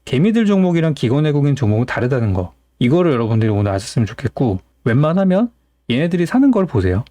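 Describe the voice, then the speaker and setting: A man's voice: mid-range voice, sing-song, fast, mellow, no room sound. A man hosting a stock market show, giving informal, opinionated commentary to viewers and often posing rhetorical questions.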